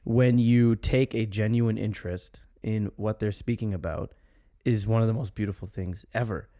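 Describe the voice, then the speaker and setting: A young man in his twenties hosting a casual podcast chat, speaking in a calm, thoughtful, reflective way. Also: lowish voice, moderate pace. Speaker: podcast host.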